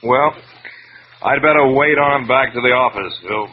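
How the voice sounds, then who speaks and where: An American actor playing a tired, friendly, matter-of-fact police lieutenant, casual and low-key, in a 1940s radio drama. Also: male voice, moderate pace, lightly acted. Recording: muffled, noisy vintage radio recording.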